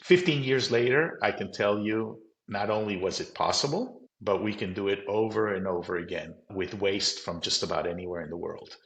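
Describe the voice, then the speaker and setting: Middle-aged man, low voice, American accent, practical and emphatic interview guest, roomy sound. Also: fairly bright tone. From Colombia. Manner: practical, emphatic, measured explanations. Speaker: company founder, guest. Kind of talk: conversational interview.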